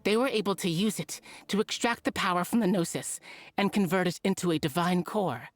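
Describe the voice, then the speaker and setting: A woman's voice: fairly low pitch, brisk, dry recording, close to an everyday voice. Earnest female scholar for a fantasy game, troubled, expository delivery acted by a woman. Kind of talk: video game voice acting.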